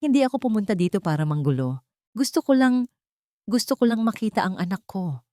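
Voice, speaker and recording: A woman voicing a deceitful estranged husband, pleading softly and dramatically in a story. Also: medium pitch, expressive, fairly quick, no echo, clean studio sound, voice pushed up, lightly acted. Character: pleading, deceitful estranged husband begging to see his son.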